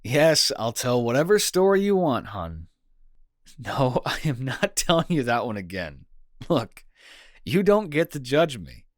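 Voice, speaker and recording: A man's voice: mid-range voice, very animated, unhurried, dry recording, studio quality. A man playing a caring, teasing young boyfriend in an intimate comfort roleplay audio, talking in a casual, playfully bantering way. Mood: teasing, fond.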